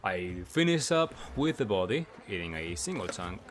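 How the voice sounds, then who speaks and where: A man's voice: low voice, very animated, moderate pace, heavy background noise. A man doing gameplay commentary as a video creator, explaining in short clipped phrases and often asking himself questions before answering them.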